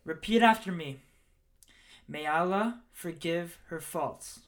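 Woman playing a stern cleric, low voice. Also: slow pace, a little hiss or hum. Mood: solemn. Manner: solemn, declamatory, commanding. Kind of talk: radio play acting.

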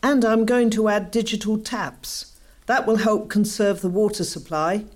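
A woman acts a townswoman campaigner in a scripted radio drama. Her voice is medium in pitch. She delivers her lines in an earnest, declarative way. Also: steady tone, a little slow, natural voice. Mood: resolute. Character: earnest townswoman urging care for water. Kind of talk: scripted community radio play.

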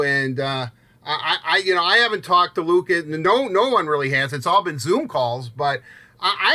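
A man co-hosting a casual sports discussion, often repeating words haltingly as he begins a phrase. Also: mid-range voice, pushed and bright. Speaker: sports podcast co-host.

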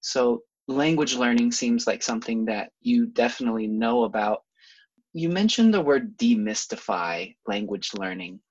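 A man's voice: mid-range voice, expressive, normal speed, husky, lo-fi sound. A man hosting an interview, asking curious, friendly questions. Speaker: interview host.

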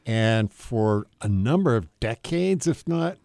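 An older man answering as a radio interview guest, unscripted, in a measured, matter-of-fact way. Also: medium pitch, animated, vocal fry, background noise.

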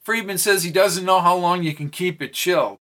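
A man's voice: sits high, moderate pace, pushed and bright, pitched above the performer's own voice. A man voicing an irritated middle-aged male government official who blusters and complains. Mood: blustering. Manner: blustering and exasperated.

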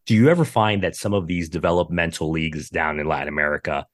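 A man's voice: low voice, quick pace, dry recording, studio quality. A man hosting a podcast, asking long, rambling questions with restarts. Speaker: podcast host. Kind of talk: casual interview questions.

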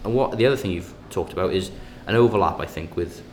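Deep-voiced man, conversational co-host, hesitant then emphatic; faint hiss. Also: fast talker, darkish tone. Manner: hesitant, many restarts, emphatic bursts. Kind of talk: casual academic discussion.

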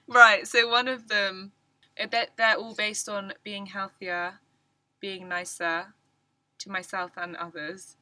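Giggly girl cohosting a podcast, bright voice, lots of ums and laughs. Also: medium pitch, little pitch movement, takes it slowly, no room sound. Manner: giggly, self-mocking, trails off with ums. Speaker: podcast co-host. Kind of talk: casual chat.

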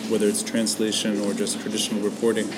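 A man's voice: fairly low pitch, monotone, fast, background noise. A man hosting a podcast interview, engaged, with frequent short affirmations. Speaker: podcast host. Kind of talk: conversational interview.

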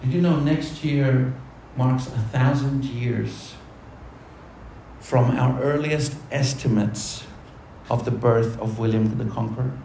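A man preaching a sermon to his congregation, throwing rhetorical questions at them and shifting between quick asides and slow, low, weighty phrases. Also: steady tone, mellow, echoey room, a little hiss or hum, poor audio. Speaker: pastor.

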